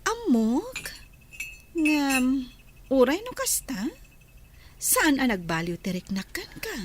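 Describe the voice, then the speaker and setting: High-pitched, flirtatious Ilocano temptress. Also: female voice, very animated, exaggerated voice. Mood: seductive. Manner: coquettish, coaxing, drawn-out delivery. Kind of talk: Filipino radio drama acting.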